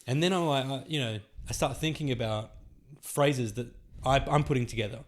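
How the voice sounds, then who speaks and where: A man hosting a comedy podcast, chatting casually in a rambling way and agreeing often with the guest. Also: medium pitch. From Australia. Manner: chatty, rambling, quick affirmations, laughs easily. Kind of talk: casual chat.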